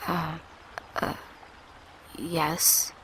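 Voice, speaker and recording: A woman's voice: low voice, flat delivery, very slow, a bit bright, slight background noise, exaggerated voice, low-quality recording. A woman playing a wary, defensive young survivor in an audio drama, terse and challenging. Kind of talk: amateur audio drama acting.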